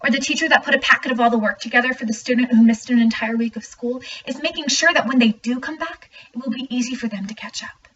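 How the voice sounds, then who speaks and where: Female performer as heartfelt, emphatic student monologuist, higher pitch, off-mic with slight hiss. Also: fast, few pauses, fairly bright tone, close to an everyday voice. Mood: earnest, hopeful. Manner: earnest, emphatic. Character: earnest student speaking out about teachers. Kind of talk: scripted dramatic monologue. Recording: muffled, distant mic, faint noise.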